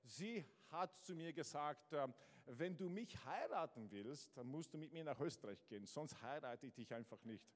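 Italian-accented pastor preaching in a hall. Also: male voice, a little high, normal speed. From Southern Italy. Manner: energetic, stumbles and restarts, playful asides. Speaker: guest pastor. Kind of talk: lively sermon to a congregation. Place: church hall with congregation. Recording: large hall with long reverb.

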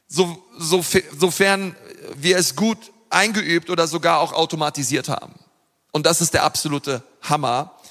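A man's voice: high voice, bright, forceful tone. A man preaching a sermon in an earnest, emphatic way, with frequent fillers and restarts. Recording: long reverb of a large hall.

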